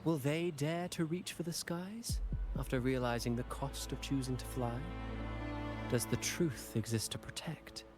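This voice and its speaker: An actor playing an enigmatic, courtly traveling playwright in a video game, measured and theatrical. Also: male voice, mid-range voice, steady tone, music in the background, lightly acted. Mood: musing, theatrical. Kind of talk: dramatic video game acting.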